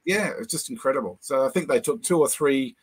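An older man with a New Zealand accent, a guest lecturer telling anecdotes over a video call, with many pauses and fillers. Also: medium pitch, lively intonation, husky. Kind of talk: casual chat, storytelling.

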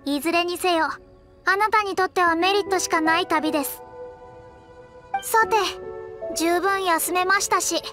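A woman's voice: very high voice, unhurried, long pauses, a bit bright. A woman playing a proud, confident young female astrologer in an anime game, speaking politely with a boastful, self-assured manner. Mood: explanatory. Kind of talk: anime game character dialogue. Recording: background music under clean voice.

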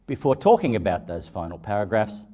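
A man in his fifties giving prepared commentary as a translator, measured and formal. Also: on the low side, very animated, dark tone.

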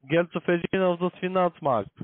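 Male call-centre agent, high voice, non-native German, blunt delivery, thin telephone audio. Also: a little fast, dry recording. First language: not German. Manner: blunt, broken grammar. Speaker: call-centre account manager. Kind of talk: sales phone call. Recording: narrow tinny phone line.

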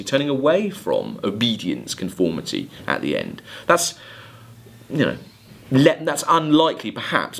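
A man giving a literature lecture, emphatic, halting and deliberate as he corrects himself. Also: medium pitch, vocal fry, a bit bright. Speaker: college English literature lecturer. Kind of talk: lecture with quoted lines of verse. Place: indoors, recorded video lecture at a desk.

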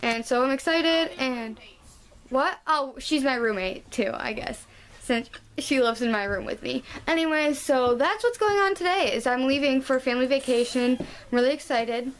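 A woman's voice: high-pitched, moderate pace. A young woman rambling casually as she vlogs on a handheld camera, with lots of fillers. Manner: chatty, rambling, filler-heavy. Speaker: vlogger. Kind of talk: casual vlog chat. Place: bedroom, then car and hotel room. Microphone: handheld camera at arm's length. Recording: noisy handheld camera audio.

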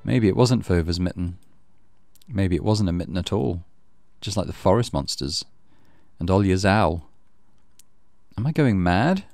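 Expressive male storyteller with lively intonation. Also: deep voice, measured pace, creaky, pauses often, no echo. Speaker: game streamer reading aloud. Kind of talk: dramatic storytelling narration.

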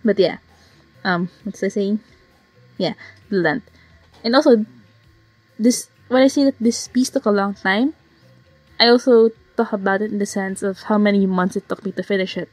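A slightly hoarse woman casually narrating her digital painting process, rambling with fillers and self-corrections. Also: mid-range voice, moderate pace, long pauses, a little mellow, no room sound, faint music behind, low-quality recording. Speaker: digital artist narrating a speedpaint. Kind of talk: casual voiceover commentary. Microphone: home voiceover mic.

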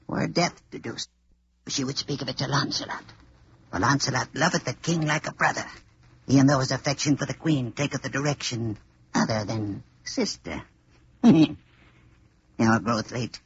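An actor playing a sly sorcerer plotting for a throne, husky, with archaic theatrical diction in an old radio play. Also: male voice, medium pitch, normal speed, long pauses. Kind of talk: old-time radio acting. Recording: dull old radio recording.

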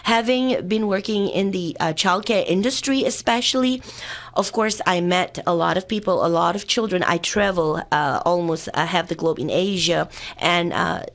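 A talkative woman in her fifties who grew up in Singapore, speaking on a studio mic in a small radio booth. Her voice sits a little low, and she keeps talking in long, cheerful runs filled with fillers. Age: 50s. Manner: talkative, upbeat, fast-running answers with fillers. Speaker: political candidate, interview guest. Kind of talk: chatty radio interview. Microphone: studio mic with headphones.

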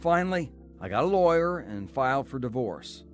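A man's voice: medium pitch, big pitch swings, normal speed, soft background music, natural voice. A man playing a disillusioned young husband and father in a radio drama, both narrating and talking in scenes. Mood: reflective, regretful. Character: disillusioned young husband and father recalling his troubled life. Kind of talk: radio drama acting with first-person narration.

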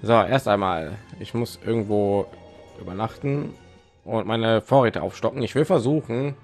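A man's voice: lowish voice, normal speed, darkish tone, soft background music. A man giving casual let's play commentary, thinking aloud and rambling, with phlegm in his throat.

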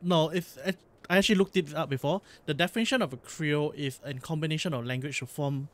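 A man from Singapore with a Singaporean English accent, a vlog host chatting casually and explaining in quick bursts that often stop and restart. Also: on the high side, fairly bright tone. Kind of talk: casual chat while streaming.

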